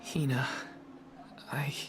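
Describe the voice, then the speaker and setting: An earnest young guardian in a game cutscene. He speaks very slowly and haltingly, heavy with guilt, over faint music. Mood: anguished, guilty. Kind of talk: video game cutscene acting.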